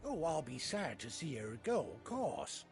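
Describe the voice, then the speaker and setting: An actor playing a chatty, kind-hearted rustic trader in a video game, with a British accent and a folksy, hearty, colloquial way of talking. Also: male voice, fairly high pitch, big pitch swings, a little slow. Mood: fond. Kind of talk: video game cutscene acting. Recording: game music behind the voice.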